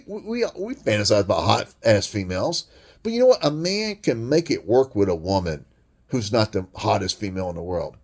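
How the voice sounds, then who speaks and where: A man with a deep voice delivering a blunt, crude, rambling monologue rant full of swearing. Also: darkish tone. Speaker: vlogger.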